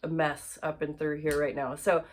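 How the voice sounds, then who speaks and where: A woman's voice: low-pitched, little pitch movement, moderate pace. Chatty woman, stuffy and a bit nasal. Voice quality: slightly nasal. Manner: chatty, self-correcting with frequent fillers. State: congested from allergies. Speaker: sewing instructor. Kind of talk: casual sewalong tutorial.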